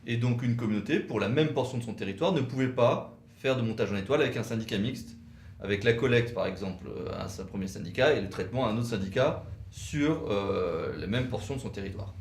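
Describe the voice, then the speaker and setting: A man of medium pitch lectures on law as a co-presenter, precise and didactic. He builds his points in short, measured phrases, and the room echoes around his voice. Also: expressive, a little mellow, a little hiss or hum. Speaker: legal commentator for a law firm, co-presenter. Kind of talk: explanatory legal lecture, semi-scripted. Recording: roomy, echoing sound.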